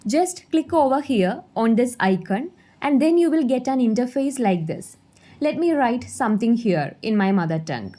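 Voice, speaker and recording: A woman's voice: fairly high pitch, big pitch swings, moderate pace, mellow, reverberant. A woman whose first language is Malayalam, teaching an English lesson and patiently demonstrating an app step by step. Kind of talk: video lesson.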